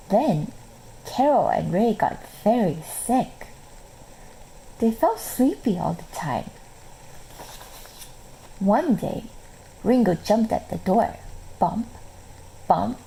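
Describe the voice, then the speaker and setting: A woman reading a children's story aloud in an expressive, measured way. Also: fairly high pitch, creaky, a little hiss or hum, poor audio. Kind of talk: scripted children's story narration.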